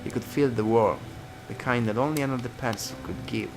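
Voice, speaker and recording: A man's voice: medium pitch, brisk, creaky. A man reading a visual novel aloud as a let's player, narrating dramatically and dropping into casual asides. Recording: guitar music behind.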